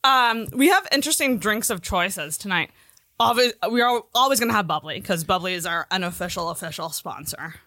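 A woman's voice: medium pitch, animated, fairly quick, pushed and bright, no echo. A woman co-hosting a podcast in casual comedic chat, speaking in a frank, bawdy way. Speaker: podcast co-host.

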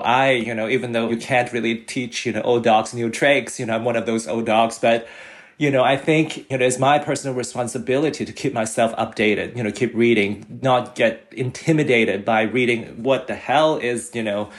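A middle-aged man from Hong Kong, speaking on a panel. His voice sits in a middle range, and his speech is halting and packed with fillers. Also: mid-range voice. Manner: hesitant, many fillers. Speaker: panel guest. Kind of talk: panel discussion.

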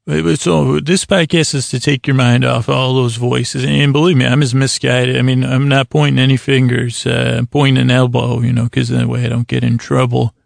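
An American man with a creaky voice hosting a podcast, delivering a meandering, halting comedic bedtime monologue that trails off mid-thought. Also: medium pitch, little pitch movement, no echo, studio quality. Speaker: podcast host.

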